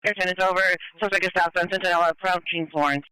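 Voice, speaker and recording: A male police dispatcher with a high, crisp voice relays pursuit updates over the radio. He is clipped and procedural, repeating reports at a quick pace, and the sound is dry. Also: steady tone, sharp tone, no room sound, poor audio. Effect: police radio transmission.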